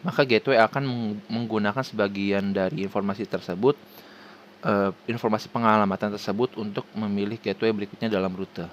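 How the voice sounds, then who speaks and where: A man with an Indonesian accent reading a formal scripted presentation haltingly, stumbling over words and restarting them. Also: on the low side, a little hiss or hum. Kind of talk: scripted presentation read aloud.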